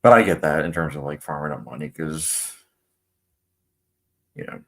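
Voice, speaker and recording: A man's voice: very deep voice, normal speed, fairly bright tone. A young man hosting a casual live stream, reading out viewer comments and chatting loosely with plenty of fillers. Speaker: movie channel host.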